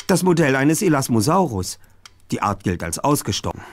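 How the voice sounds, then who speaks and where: An actor playing a precocious, analytical boy detective in an adventure game, speaking precisely and matter-of-factly with a husky voice. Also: medium pitch, sing-song, normal speed, lightly acted. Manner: precise, articulate, matter-of-fact. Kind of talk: scripted game dialogue.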